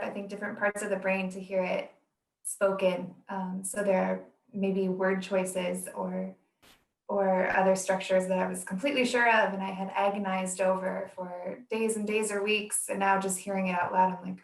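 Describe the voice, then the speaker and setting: A woman's voice: medium pitch, vocal fry. A woman, a translator, giving a casual panel answer, recorded with echo from a distance. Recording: echoey, distant microphone.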